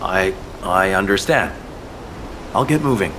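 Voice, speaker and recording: An earnest male field operative in a sci-fi game. His voice sits low, and he briefs in a conversational military way. Clear background noise runs behind him. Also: animated, a little slow. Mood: hesitant. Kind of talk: video game voice acting.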